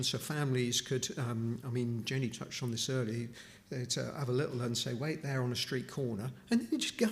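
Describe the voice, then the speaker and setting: A middle-aged man from Newcastle, giving an informal charity talk to a church congregation with many restarts and asides. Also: medium pitch. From Newcastle upon Tyne, England. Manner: conversational, with frequent restarts and asides. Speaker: charity speaker at a chapel.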